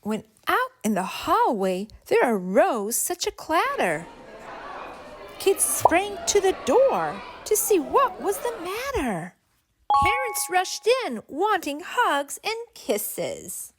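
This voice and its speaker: A woman reading a rhyming picture book to preschoolers in an expressive, deliberate, sing-song storytelling way. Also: extremely high pitch, background noise. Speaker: children's story reader and channel host.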